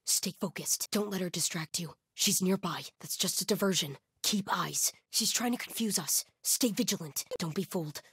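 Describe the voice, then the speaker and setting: Menacing female cult soldier for a video game: husky, watchful, terse commands, dry sound. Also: on the low side, normal speed, few pauses, fairly bright tone, dry recording, heavily acted. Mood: hushed, wary. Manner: terse, clipped commands and callouts. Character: hardened cult soldier woman, vigilant, menacing hunter of trespassers. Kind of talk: video game combat voice lines.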